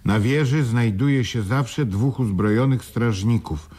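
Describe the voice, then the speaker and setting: A man's voice: medium pitch, a little slow, darkish tone, slightly rough. An actor playing a self-justifying businessman in a radio play, articulate and theatrical. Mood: reading aloud. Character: self-justifying businessman, male former mine shareholder. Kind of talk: 1980s Polish radio play acting.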